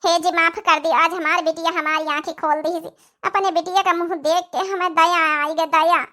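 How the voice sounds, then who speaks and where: Repentant cartoon daughter-in-law, done by a woman: high, bright, pleading, Awadhi accent. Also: fairly even intonation, normal speed. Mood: tearful pleading. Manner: pleading, effusive. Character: repentant young village daughter-in-law, emotional, pleading wife and mother.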